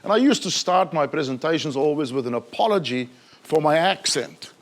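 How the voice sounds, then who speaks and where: A man's voice: fairly high pitch, lively intonation. South African-born man giving a keynote. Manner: measured, questioning, slows for emphasis. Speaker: conference speaker. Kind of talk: keynote presentation. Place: conference hall.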